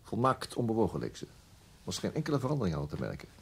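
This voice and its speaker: An actor playing a brisk, inquisitive police detective with a husky voice in a radio drama. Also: male voice, lowish voice, huge pitch swings, heavily acted. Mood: businesslike.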